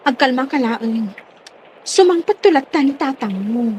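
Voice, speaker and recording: A woman's voice: high voice, expressive, measured pace, a little mellow, slight background noise. A woman playing a concerned companion to a worried friend, in a radio drama with an Ilocano accent.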